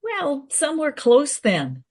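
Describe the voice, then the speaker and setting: An actress as a high-pitched, pushy know-it-all woman. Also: wildly swooping pitch, takes it slowly, darkish tone, exaggerated voice. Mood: insistent. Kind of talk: radio play dialogue.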